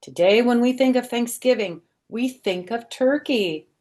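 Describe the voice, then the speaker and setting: A woman's voice: fairly high pitch, a little slow, darkish tone, voice pushed up, natural voice. A woman voicing a bossy schoolgirl from a storybook, speaking emphatically as if on a school stage. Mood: declamatory, proud. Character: bossy, demanding schoolgirl reciting in a class play.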